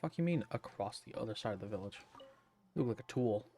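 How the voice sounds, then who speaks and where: Very deep-voiced, sweary young woman streamer. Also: expressive, quick pace, over music. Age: early 20s. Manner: sweary, sardonic, blunt. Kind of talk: casual gameplay commentary.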